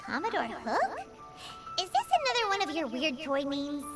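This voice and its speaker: A woman voicing a tiny childish, boastful, excitable fairy-like guide in an anime video game, with a theatrical way of talking. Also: sits high, normal speed, vocal fry, heavily acted. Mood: incredulous. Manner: refers to self in third person, theatrical. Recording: music playing behind the voice.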